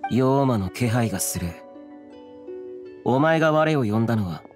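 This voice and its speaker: A man plays an aloof immortal guardian from a video game. His mid-pitched voice is stern and grave, clipped and archaic in phrasing, with music clearly behind it. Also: animated, moderate pace, a little mellow, lightly acted. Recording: background music under the voice.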